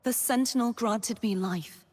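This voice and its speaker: A woman's voice: fairly high pitch, unhurried, a little mellow, in a large hall. An actress playing a noble, solemn young woman knight in a video game, with formal, dramatic delivery. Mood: earnest, solemn. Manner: formal, dramatic, archaic phrasing. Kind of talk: scripted video game character voice lines.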